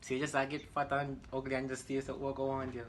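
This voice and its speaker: A man's voice: mid-range voice, completely flat delivery, quick pace, a little hiss or hum. A young man with a Jamaican accent, chatting casually as he makes a prank phone call. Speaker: prank caller.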